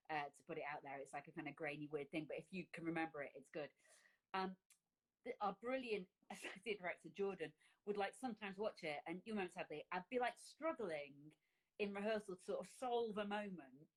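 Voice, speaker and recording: A woman's voice: lowish voice, low-quality recording. A woman from Lancashire with an English accent, a theatre director hosting a casual live video chat in a joking, self-deprecating way. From Lancashire, England. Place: home, video call on a phone. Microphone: phone on a livestream video call.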